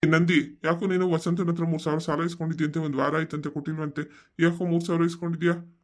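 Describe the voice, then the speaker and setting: Middle-aged village dad for cartoon drama, played by a man: slightly high voice, fast delivery, stern, reproachful questioner. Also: flat delivery, close to an everyday voice. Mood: stern, questioning. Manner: interrogating, reproachful. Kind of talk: family comedy drama for a cartoon story.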